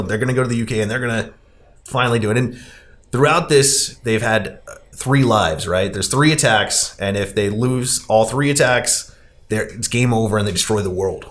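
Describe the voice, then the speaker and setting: Man chatting casually on a podcast. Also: lowish voice. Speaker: podcast host. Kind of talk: casual film discussion, recapping.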